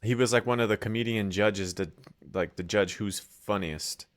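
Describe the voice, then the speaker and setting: A man's voice: on the low side, moderate pace. A man casually commenting over a comedy video, with offhand asides and swearing. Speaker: reaction video host.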